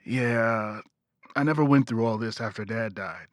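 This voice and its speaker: A man's voice: lowish voice, a little slow, dry recording, clean studio sound, natural voice. A man playing a friendly, reflective grieving son in an audio drama, with easygoing, conversational delivery. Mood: reminiscent.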